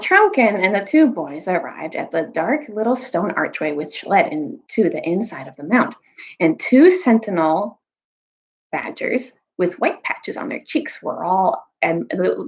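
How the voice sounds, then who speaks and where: A woman reading and retelling a story aloud in an expressive storytime style, like a teacher with students. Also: fairly low pitch, muffled recording. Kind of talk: storytime read-aloud with recap.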